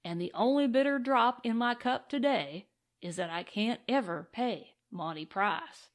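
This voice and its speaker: A woman voicing an excited, grateful cowboy gold prospector in an audiobook western, with folksy, boastful talk. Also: a little high, unhurried. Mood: grateful, eager.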